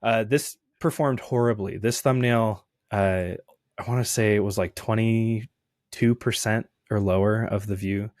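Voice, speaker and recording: A man's voice: lowish voice, fairly even intonation, unhurried, darkish tone, no echo, clean studio sound. A young man, an indie game developer, talking casually in an interview and rambling with many fillers and restarts.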